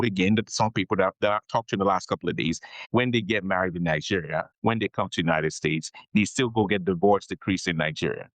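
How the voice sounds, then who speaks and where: A man's voice: low-pitched, a bit bright, no echo, low-quality recording. A man being interviewed on a podcast as an immigration attorney, explaining things patiently, repeating his key advice and often checking in with "okay?".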